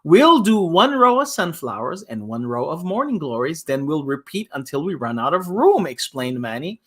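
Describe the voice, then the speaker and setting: Man with very lively, swooping story-time delivery. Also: on the high side, normal speed, husky. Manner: casual, explains the story in simple words. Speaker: imam hosting a children's story time. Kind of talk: animated read-aloud with casual recaps.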